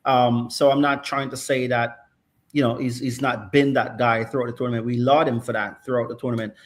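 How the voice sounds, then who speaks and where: A man's voice: mid-range voice, little pitch movement, brisk. A middle-aged man analysing football in casual chat, thoughtful and checking in with "right?" often. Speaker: football pundit.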